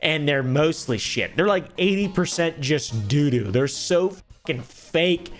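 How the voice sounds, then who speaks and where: A young man, fairly high in voice, narrates online drama in a dry, sarcastic tone and swears without a second thought. Faint music plays under his voice. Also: lively intonation, a little slow. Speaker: commentary YouTuber. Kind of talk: sarcastic commentary on streamer drama.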